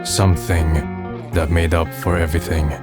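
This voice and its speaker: A man's voice: low voice, level tone, moderate pace, loud music behind the voice, close to an everyday voice. A man playing a melancholy, resigned young man, subdued and introspective, in a manga dub. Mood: reflective. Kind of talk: first-person narration and dialogue in manga dub.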